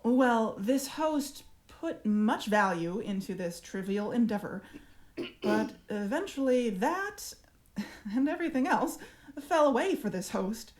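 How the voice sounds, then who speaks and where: Audio drama: actress as cold, self-satisfied female alien infiltrator, formal and deliberate, higher register. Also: lively intonation, darkish tone. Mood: smug, persuasive. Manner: measured, clinical, formal. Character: cool, smug alien parasite speaking through its human host.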